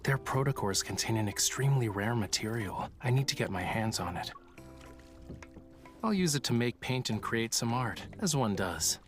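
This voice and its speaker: A man's voice: mid-range voice, lively intonation, normal speed, faint music behind, close to an everyday voice. A man acting a cocky, teasing young male painter. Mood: casual, teasing. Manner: dry, playful, complaining. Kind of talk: video game voice acting.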